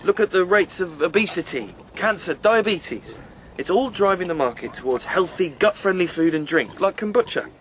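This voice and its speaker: A man's voice: high voice, lively intonation, normal speed, hardly any pauses, fairly bright tone, a little hiss or hum. A man with an English accent playing an earnest, ambitious farmer in a radio soap. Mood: earnest, passionate. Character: earnest, ambitious English farmer pitching his business ideas.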